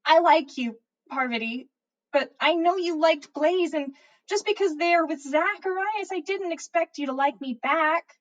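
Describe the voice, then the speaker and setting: A woman reading a story and voicing a nervous, lovesick teenage witch who speaks haltingly and blames herself. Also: very high voice, highs cut off, pitched above the performer's own voice. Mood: miserable, nervous. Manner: halting, miserable, breathy confessions.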